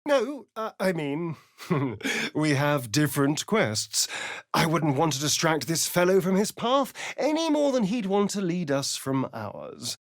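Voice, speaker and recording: Flippant haughty vampire for a video game, drawly and sardonic, pitch all over, slow, super dry recording. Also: male voice, sing-song, no echo. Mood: airy, coy. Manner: arch, theatrical, drawling, sardonic. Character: sly, theatrical male vampire spawn, flippant and haughty. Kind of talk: video game character voice acting.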